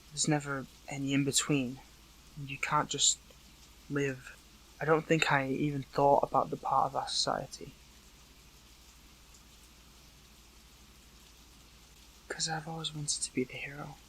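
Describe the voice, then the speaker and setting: Gravelly, weary captive young hero played by a man. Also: fairly high pitch, sharp tone, exaggerated voice, poor audio. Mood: concerned, subdued. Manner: halting, emotional, pleading. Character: weakened captive young male superhero, hurt, earnest, still caring. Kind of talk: intimate audio roleplay drama.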